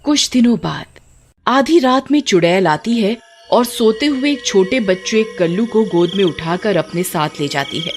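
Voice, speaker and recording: A woman's voice: medium pitch, expressive, unhurried, soft background music. A woman narrating a scripted story as a storyteller.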